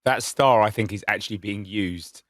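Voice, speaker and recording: A man's voice: fairly low pitch, moderate pace, no echo. A man co-hosting a casual podcast, telling stories in an enthusiastic, self-mocking way. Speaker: podcast co-host. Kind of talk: casual chat.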